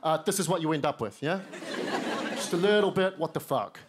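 A young man with a New Zealand accent doing stand-up on a TV studio stage into a handheld mic, wry and self-mocking, dropping into lower voices for quoted lines. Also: animated, normal speed. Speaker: stand-up comedian. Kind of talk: stand-up set. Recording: studio stage with audience noise. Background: studio audience laughter.

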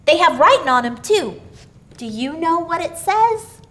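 Woman putting on an eager, high-pitched little boy. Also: very animated, unhurried, bright, forceful tone, exaggerated voice. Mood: giggly, delighted. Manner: eager, bubbly, proud. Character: little Billy, excitable, earnest, trusting young boy.

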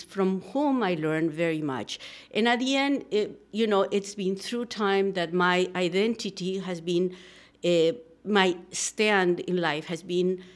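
A middle-aged woman from Peru with a Peruvian Spanish accent, speaking earnestly as a guest in a radio studio interview, with many fillers and restarts. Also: lowish voice. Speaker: guest, social psychologist. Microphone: studio microphone, headphones.

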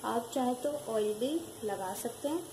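A woman with a speech hosting a home cooking tutorial, calmly walking viewers through each step in her kitchen. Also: on the high side, a little slow. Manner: calm, instructive, addresses viewers directly. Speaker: cooking channel host. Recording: noisy home recording with background ambience.

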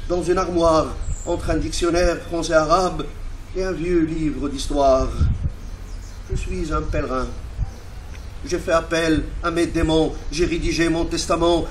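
Slow, higher-voiced man reciting poetry. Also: slight background noise, rough recording. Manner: solemn, measured, with pauses between short phrases. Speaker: poetry reader.

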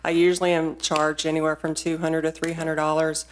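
A woman in her forties speaking at a podium in a council chamber, insistent and quick to interrupt. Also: sits low, little pitch movement, slight background noise. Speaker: resident speaking at a public meeting. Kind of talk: public comment at a town board meeting. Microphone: standing podium mic from a distance.

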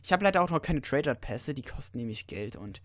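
A teenage boy with a cold, giving rambling casual commentary over a video game with many fillers and sudden excited outbursts. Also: mid-range voice, fast, dry recording, studio quality. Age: teens. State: stuffy, has a cold. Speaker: let's player.

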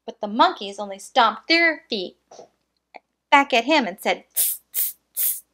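Bright, unhurried woman reading a storybook. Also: fairly high pitch, lively intonation. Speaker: storyteller reading a picture book aloud. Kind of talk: children's read-aloud with asides.

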